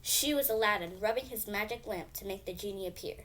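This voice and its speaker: A woman's voice: medium pitch, a little fast, fairly bright tone, a little hiss or hum. A female reader telling a children's bedtime story expressively, with emphatic phrasing.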